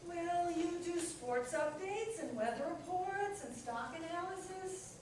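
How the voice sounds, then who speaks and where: A woman's voice: very high voice, expressive, measured pace, distant mic. A woman playing a practical, nagging companion to a superhero, dry and scolding, in a staged comedy play reading. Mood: teasing. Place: echoey room at a live staged reading. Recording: very echoey hall from afar, clear noise.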